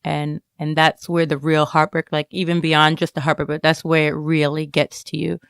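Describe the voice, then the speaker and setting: Woman reflecting haltingly, creaky and close-miked. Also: low-pitched, flat delivery, no room sound. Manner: halting, frequent "like" and "you know". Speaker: interviewed writer. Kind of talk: reflective personal interview.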